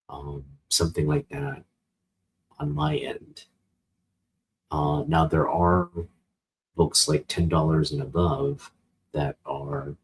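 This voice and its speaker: An American man chatting casually and unscripted as a vlog host, with a husky voice and a halting, rambling delivery as if thinking aloud. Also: rumbling low, poor audio. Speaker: host of a comic book channel.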